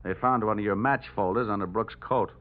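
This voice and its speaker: A man's voice: fairly low pitch, fairly quick, lightly acted. Man playing a wry hard-boiled detective, tinny old radio. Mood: needling, probing. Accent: American. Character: wry, tough male insurance investigator narrating his own case. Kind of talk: 1950s American radio detective acting. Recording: tinny 1950s radio recording, music.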